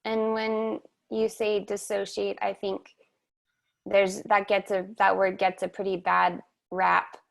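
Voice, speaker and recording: A woman hosting a webinar, speaking in a slow, measured, reflective way over a video call. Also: mid-range voice, completely flat delivery, vocal fry. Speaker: webinar host and somatic teacher. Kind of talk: reflective guided conversation.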